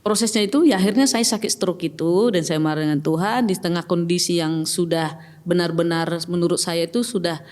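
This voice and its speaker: A woman's voice: lowish voice, fairly quick, darkish tone. Middle-aged woman with a Batak accent. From Batak, North Sumatra. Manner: reflective, hesitant, with many fillers and restarts. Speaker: podcast guest. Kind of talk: personal testimony in casual chat. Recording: long reverb with faint music.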